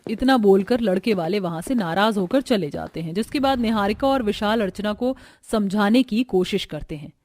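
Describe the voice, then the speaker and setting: A woman narrating a scripted animated story. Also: medium pitch, normal speed, hardly any pauses, no room sound.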